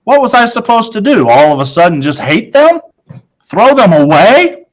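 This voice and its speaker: A man narrating a children's novel in the first person as a schoolboy, in a measured storytelling manner. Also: sits high, sing-song, rough recording. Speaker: storybook reader.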